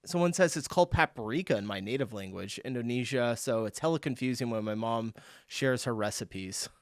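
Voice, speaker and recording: A man from the United States hosting a casual reaction video, reading out viewer comments in a relaxed, chuckling way. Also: medium pitch, brisk, dry recording, studio quality. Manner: laid-back, chuckling, reads out viewer comments.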